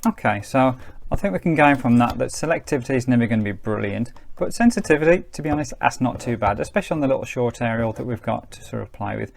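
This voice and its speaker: A man's voice: medium pitch, animated, quick pace, vocal fry, a little hiss or hum. A man giving a casual hands-on gadget review, chatty and understated as he talks through what he is doing. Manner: chatty, understated, thinking aloud while working. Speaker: product reviewer.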